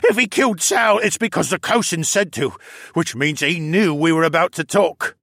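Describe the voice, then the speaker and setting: A man voicing a cowardly, whiny male captive in an audiobook, high-strung and prone to shrieking. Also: normal speed, vocal fry, a bit bright, no echo, studio quality. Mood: fearful, shaky. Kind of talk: audiobook character acting.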